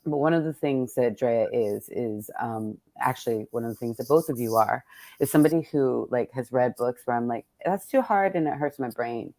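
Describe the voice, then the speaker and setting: A woman's voice: rumbling low, moderate pace, dark tone, no echo. An American woman hosting a casual conversation among poets, talking in an effusive, slangy way with plenty of swearing. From born in Texas, United States. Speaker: host of a poets' table reading.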